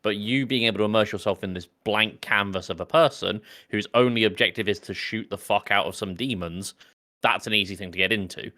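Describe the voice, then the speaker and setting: A man's voice: lowish voice, a little fast, sharp tone. A man talking casually as a podcast panellist, explaining things informally to friends.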